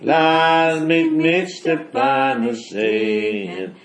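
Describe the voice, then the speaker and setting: A person singing devotional verse as a hymn in a solemn, prayerful, drawn-out manner. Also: mid-range voice, little pitch movement, roomy sound.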